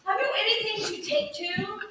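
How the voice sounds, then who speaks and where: A woman's voice: extremely high pitch, normal speed, bright, forceful tone. A woman acting youthful roles in a staged Shakespeare reading, with emphatic, theatrical delivery. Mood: bold, mocking. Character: lovesick young woman disguised as a youth; also a bold outlaw. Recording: very echoey room, distant mic, faint noise.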